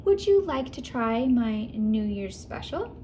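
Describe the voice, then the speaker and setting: A kind rabbit running a storybook diner, who gives advice in a gentle way, as a woman reading aloud voices her. Her voice sits fairly high. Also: big pitch swings, slow pace, a little hiss or hum. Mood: kindly. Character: kindly female rabbit diner cook.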